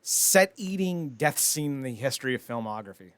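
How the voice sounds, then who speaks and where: A man talks casually as a podcast host. His delivery is lively and varied in pitch, with wry, opinionated asides. The sound is dry, at a middling male pitch. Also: moderate pace, dry recording. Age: 30s. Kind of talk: casual podcast commentary on entertainment news.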